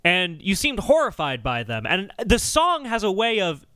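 A man hosting a podcast, explaining things in a casual, animated and wry conversational way. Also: high-pitched, moderate pace, clear, resonant tone, no echo. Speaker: podcast host.

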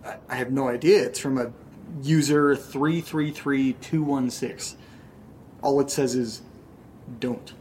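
A man's voice: medium pitch, steady tone, slow pace, a little mellow, a little hiss or hum, lightly acted. A man acting an anxious, mopey, sardonic young man in a naturalistic audio drama, speaking hesitantly. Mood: uneasy.

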